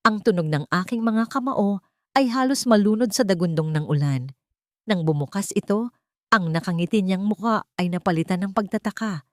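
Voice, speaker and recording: A woman's voice: mid-range voice, normal speed, no room sound, studio quality. A woman reading a dramatic first-person story as an audiobook narrator, in an emotional, heartfelt way.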